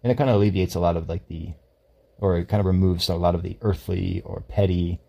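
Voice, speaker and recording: A man's voice: sits low, fairly even intonation, quick pace, vocal fry, dark tone. A man co-hosting a podcast in casual faith chat, rambling and correcting himself as he talks. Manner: rambling, self-correcting, laughs often. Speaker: podcast co-host.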